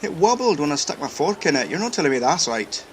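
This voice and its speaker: Male actor as young Scottish Highlander, restless and hearty, highish lively voice, colloquial, noisy background. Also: fast talker. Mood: grumbling. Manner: colloquial, grumbling. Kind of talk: audio drama acting. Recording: audible background noise.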